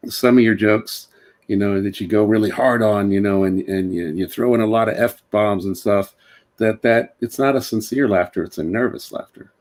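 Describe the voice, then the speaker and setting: A man talking casually as a comedian giving advice, repeating phrases haltingly as he explains. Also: fairly low pitch, fairly even intonation, a little mellow.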